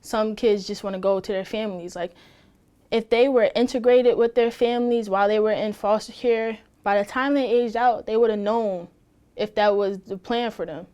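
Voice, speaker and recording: A woman's voice: mid-range voice, steady tone, moderate pace, a little mellow, highs cut off. A woman in her early twenties in a documentary interview, talking in a loose, rambling way with many fillers. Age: early 20s. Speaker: former foster youth interviewee.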